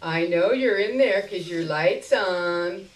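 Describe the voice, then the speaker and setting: A woman's voice: on the low side, slow. A woman voicing a pushy, chatty man who calls through a door in a story reading, casual and insistent. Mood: insistent coaxing. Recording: roomy live stage recording, distant mic.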